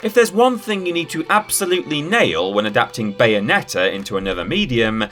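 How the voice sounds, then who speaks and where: Male countdown narrator, wry and tongue-in-cheek, forward bright tone, very lively intonation. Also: medium pitch, fairly quick. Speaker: video presenter. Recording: music behind the voice. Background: background music with guitar.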